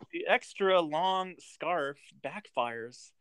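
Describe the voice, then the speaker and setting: A man from the United States hosting a casual movie podcast, halting with many ums and frequent laughs. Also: fairly high pitch, lively intonation, a crowd in the background. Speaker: podcast host.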